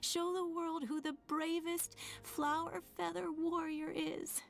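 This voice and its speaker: A woman voicing a tender, self-blaming younger sibling in a video game drama, weak and resigned, with halting, sighing delivery. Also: very high voice, little pitch movement, vocal fry, full character voice. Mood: gentle, accepting.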